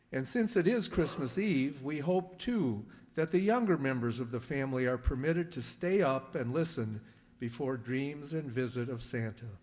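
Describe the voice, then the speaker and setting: A man with a slightly high voice announces in old-time radio style. His delivery is formal, measured and earnest, ringing in a reverberant hall. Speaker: sponsor's announcer. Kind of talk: 1930s radio announcing, scripted.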